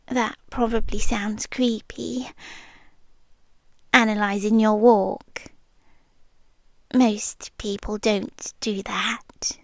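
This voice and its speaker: A British woman half-whispering a soft, nervously chatty ASMR roleplay into a close microphone. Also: fairly high pitch, dry recording.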